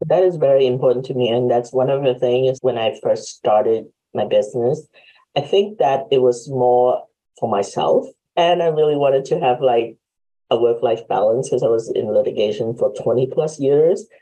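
A woman's voice: extremely low pitch, moderate pace, mellow, rough recording. A woman in her forties from Hong Kong hosting an interview, friendly and reflective, laughing often as she talks. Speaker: host. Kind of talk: casual interview chat. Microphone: video call.

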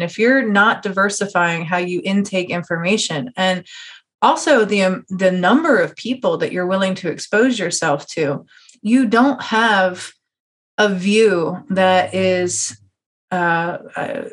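Woman, American accent, lower-pitched, conversational interviewer, encouraging, earnest. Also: fairly bright tone. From United States. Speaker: podcast host interviewer.

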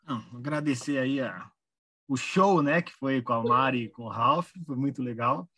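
A man's voice: medium pitch, normal speed, pushed and bright. A Brazilian man speaking casually as a panelist, giving closing remarks and recommendations. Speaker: panelist on a live online discussion show.

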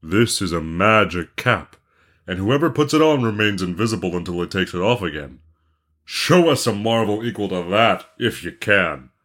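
A man's voice: on the low side, animated, normal speed, a bit bright. A man voicing a scornful, boastful boy gang leader in a storybook, with a challenging delivery.

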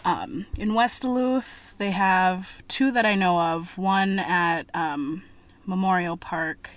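A woman co-hosting a podcast in relaxed, casual conversation. Her voice sits fairly low. She hesitates often, with ums and self-corrections. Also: slow pace. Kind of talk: casual chat.

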